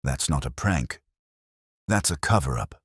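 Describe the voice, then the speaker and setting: A man voicing an earnest, sharp young police sergeant who talks in a questioning, earnest way, recorded close on a studio mic. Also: very deep voice, expressive, a little slow, breathy edge, dry recording, exaggerated voice.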